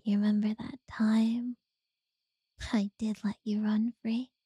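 A woman voicing an obsessive, possessive captor in a whispered audio roleplay, slow and unsettlingly sweet. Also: mid-range voice, little pitch movement, no echo, clean studio sound. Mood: creepy tender. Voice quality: soft breathy whisper.

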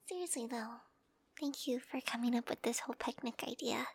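A young woman whispering softly in an audio roleplay to the listener, giggly and intimate, close to the mic. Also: on the high side, vocal fry, husky, no room sound. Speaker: roleplay performer.

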